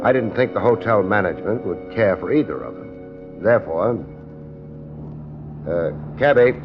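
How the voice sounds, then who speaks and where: Wry laconic private eye, casual but very animated delivery, muffled 1950s radio show. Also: male voice, on the low side, normal speed, music in the background. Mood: cool, teasing. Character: cool, wry male private investigator. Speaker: lead and narrator. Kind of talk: 1950s radio detective drama acting and narration.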